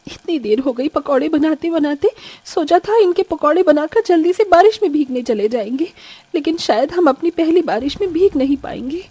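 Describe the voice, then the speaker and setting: A woman's voice: sits high, lively intonation, normal speed, dark tone, dry recording. A woman voicing an earnest, polite young daughter-in-law in a cartoon story. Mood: eager, wistful. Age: young adult. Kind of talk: Hindi cartoon morality story acting.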